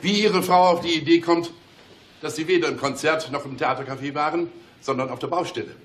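An actor playing a commanding, sardonic police chief inspector in a German radio crime play, mock-polite and ironic. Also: male voice, on the high side, fairly quick, a bit bright, slight background noise, close to an everyday voice. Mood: ironic, pressing.

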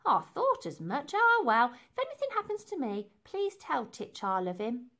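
A woman's voice: sits high, huge pitch swings, normal speed, pushed and bright, voice pushed up, full character voice. A woman playing a scatty, swooping cleaning lady. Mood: anxious, wistful. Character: scatty, dramatic cleaning lady, chatty and kind-hearted.

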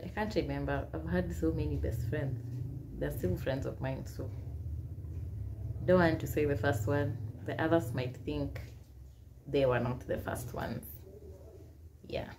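A woman's voice: low voice, darkish tone, slight background noise. A young Kenyan woman in her twenties, with a Kenyan accent, casually reading out get-to-know-me questions and answering them, with Swahili phrases mixed in. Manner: chatty, reads questions aloud then answers, mixes in Swahili phrases. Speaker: YouTuber. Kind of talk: casual Q&A vlog. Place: small indoor room, seated at a plain wall.

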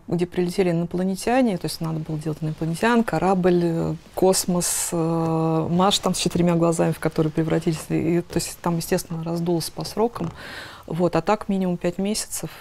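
A woman's voice: fairly low pitch, steady tone, brisk, creaky, a little mellow, a little hiss or hum. A woman in her thirties giving casual interview answers in an informal, emphatic way. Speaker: animation maker, interviewee. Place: office room on a sofa, indoors.

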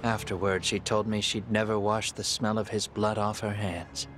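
An actor playing a grave, calculating middle-aged man in a video game drama, speaking in a measured, deliberate way. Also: on the low side, little pitch movement, over music, close to an everyday voice. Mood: solemn. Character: composed middle-aged male fugitive avenger, calculating and grave.

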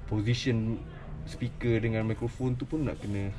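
A Malaysian man with a fairly low voice talks through technical points in a casual way. He hesitates often and searches for words as he explains. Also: fairly even intonation, normal speed, music in the background. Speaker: audio specialist. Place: small cluttered office, indoors.

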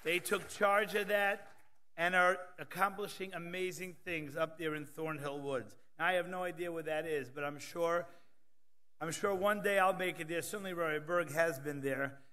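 Emcee reading introductions with quips. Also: male voice, fairly high pitch, steady tone, moderate pace, pushed and bright. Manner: reads from notes, joking asides. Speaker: conference emcee. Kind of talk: scripted introductions with light jokes. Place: large conference hall stage. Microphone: podium microphone.

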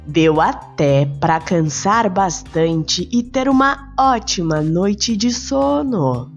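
A woman's voice: on the low side, lively intonation, slow pace, highs cut off. A Brazilian woman hosts a children's bedtime story podcast. She narrates and comments in a chatty storyteller's way, with music behind her.

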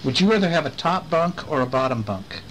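Animated bright-voiced man reading a bedtime story. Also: fairly high pitch, a little fast, rough recording. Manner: expressive, explains pictures and asks the child questions. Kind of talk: reading a picture storybook aloud with chatty asides to a child. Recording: home recording with faint background noise.

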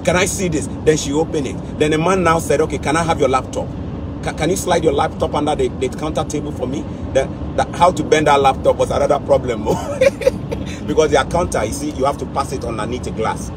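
A man's voice: fairly high pitch, brisk, crowd noise. A middle-aged man telling a story casually while driving, acting out the dialogue with quoted voices. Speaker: vlogger. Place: car on a snowy highway. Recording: clear car road noise. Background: road and engine noise.

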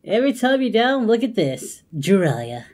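A woman's voice: mid-range voice, sing-song, moderate pace, darkish tone. A woman hosting a reaction video in casual commentary, exclaiming and often repeating her phrases. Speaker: host of a reaction channel.

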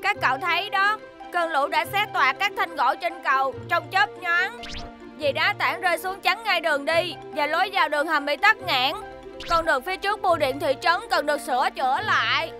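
A woman's voice: extremely high pitch, moderate pace, music in the background. A woman voicing an earnest, dutiful little rescue helicopter robot in a children's cartoon, speaking as if reporting. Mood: earnest, concerned. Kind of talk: animated children's cartoon dub.

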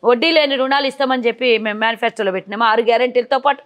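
A woman's voice: on the high side, very fast, highs cut off. A woman, a party politician giving combative interview answers full of rhetorical questions, recorded outdoors on a lapel mic.

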